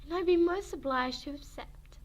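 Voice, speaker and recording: A woman's voice: sits high, moderate pace, voice pushed up, close to an everyday voice. A woman voicing a polite young lady in a period romance, in a put-on British accent. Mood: gushing.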